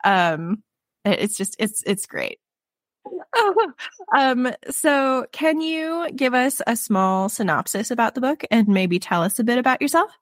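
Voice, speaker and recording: A woman's voice: a little high, lively intonation, measured pace, no echo. Gushing woman podcast host, dry sound. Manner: gushing and enthusiastic, heavy "like" fillers. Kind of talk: podcast interview.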